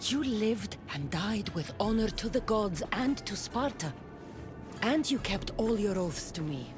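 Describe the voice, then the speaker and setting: A steadfast female Spartan fighter, played by an actress, earnest and commanding. Her voice sits at an ordinary middle pitch in a game cutscene, with music clearly playing behind it. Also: expressive, unhurried, natural voice. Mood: solemn. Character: resolute, loyal female Spartan warrior. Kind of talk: video game cutscene acting.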